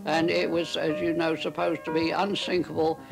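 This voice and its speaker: Creaky older man reminiscing. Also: a little high, flat delivery, normal speed, music in the background, poor audio. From Southampton, England. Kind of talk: oral history reminiscence.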